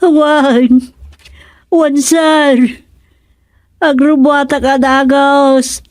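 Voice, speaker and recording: A woman's voice: high-pitched, flat delivery, extremely slow, no room sound, studio quality. A woman playing a worried, devout older village mother in an Ilocano radio drama, exclaiming and lamenting with an Ilocano Filipino accent. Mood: flustered, alarmed.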